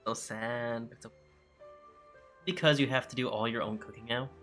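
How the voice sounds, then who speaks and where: A man's voice: mid-range voice, steady tone, moderate pace, music in the background. A man reading video game dialogue choices aloud as a gaming video creator.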